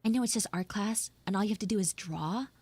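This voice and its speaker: A woman's voice: mid-range voice, fast talker, a little mellow, slightly rough, no room sound. A woman chatting in character on a livestream as a flustered tomboy tsundere schoolgirl, with dramatic, whiny exasperation. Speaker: VTuber livestreamer doing audio roleplay. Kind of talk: improvised in-character livestream chat.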